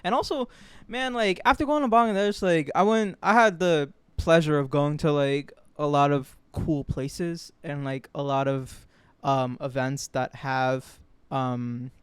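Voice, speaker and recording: A man's voice: on the high side, steady tone, measured pace, clear, resonant tone, no echo. A young man with an American accent chatting casually as a podcast co-host, slangy, emphatic and earnest.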